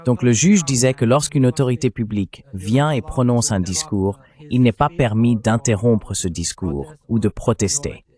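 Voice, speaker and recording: A man being interviewed, answering in a calm, measured way, voiced over in French. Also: mid-range voice. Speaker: interviewee, protester.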